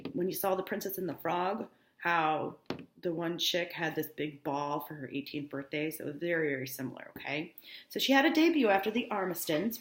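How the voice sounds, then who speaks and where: A woman high school teacher reading a novel aloud, breaking off for informal, instructive asides to explain things to students. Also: reverberant. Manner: informal, instructive asides with check-ins like "okay?".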